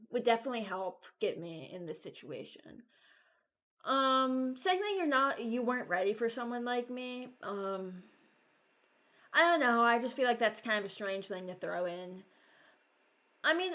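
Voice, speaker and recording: A young woman talks casually to her viewers in a medium-pitched voice. She rambles and thinks aloud, often circling back hesitantly before reaching her point. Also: fairly bright tone. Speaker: video creator. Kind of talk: casual reaction chat.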